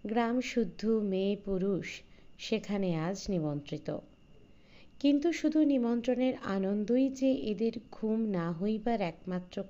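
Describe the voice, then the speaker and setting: Slow, mellow woman reading a story. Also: mid-range voice, muffled recording. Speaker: audiobook narrator. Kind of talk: literary short story reading, scripted narration.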